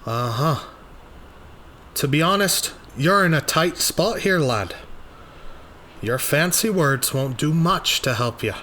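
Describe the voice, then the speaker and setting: A brusque, puffed-up police chief acted out by a man in an audio drama, condescending and full of bluster. The voice is forceful and bright, pushed up above the performer's usual talk, with some noise in the recording. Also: fairly high pitch, lively intonation, takes it slowly, long pauses, pitched above the performer's own voice, full character voice. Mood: stern, impatient. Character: puffed-up, brusque, skeptical small-town police chief, male. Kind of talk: dramatized audio journal, character dialogue.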